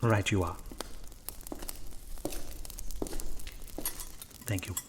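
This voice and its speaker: A man's voice: deep voice, fairly even intonation, fast, a little hiss or hum, close to an everyday voice. A man playing a devout, hesitant priest in a horror audio drama, polite and formal with a slightly pompous turn of phrase. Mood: gracious.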